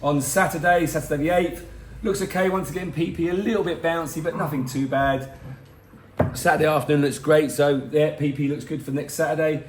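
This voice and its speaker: Hoarse chatty middle-aged man in a roomy space. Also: fairly high pitch, fairly even intonation, brisk, slight background noise. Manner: chatty and rambling, self-mocking asides. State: hoarse, losing his voice. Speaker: weather forecast host for a boat tour company. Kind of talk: casual weather vlog. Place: home, in front of a garden.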